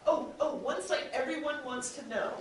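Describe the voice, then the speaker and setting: A woman speaking as a podcast producer on a panel, chatting unscripted to an audience in an animated way. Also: high-pitched, normal speed. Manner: chatty, animatedly mimics others in quoted speech. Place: convention panel room with an audience. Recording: very echoey room, distant mic, faint noise.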